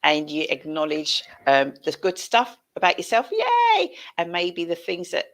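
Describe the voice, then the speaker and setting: A woman's voice: lowish voice, sing-song, measured pace. A middle-aged British woman hosting a casual chat on a video call, encouraging and emphatic, repeating phrases for effect. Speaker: show host. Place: home room, indoors. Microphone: headset, video call.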